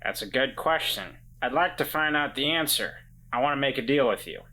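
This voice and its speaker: A man's voice: medium pitch, lively intonation, a little fast, sharp tone, low-quality recording. A man voicing a cocky, wry grifter in a tabletop game, speaking in a dry, flippant, bargaining way. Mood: cool bargaining. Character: Walter, cocky wry deal-making grifter.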